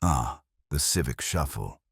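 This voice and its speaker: Man playing a very deep, patient police inspector. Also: lively intonation, gravelly, fairly bright tone, husky, no echo, heavily acted. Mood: wry. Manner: understated, dry, measured. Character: patient, dryly witty male police inspector.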